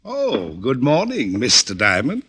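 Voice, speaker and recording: An actor playing a gruff, sarcastic American police lieutenant in 1940s radio drama style. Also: male voice, mid-range voice, huge pitch swings, measured pace, background noise. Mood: mock-formal.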